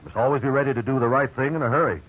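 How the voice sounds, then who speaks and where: Man acting as a patient father, husky voice. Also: medium pitch, racing, natural voice. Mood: gently firm. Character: patient, firm working-class father. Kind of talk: 1950s radio drama acting. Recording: muffled 1950s radio recording.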